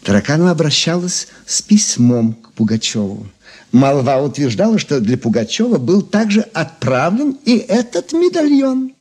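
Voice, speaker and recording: A man's voice: fairly high pitch, very animated, moderate pace, a little mellow, slightly rough. An actor playing a learned gem connoisseur who savours his words as he tells legends in a radio drama. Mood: savouring, learned. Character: erudite, expressive male gem connoisseur telling legends.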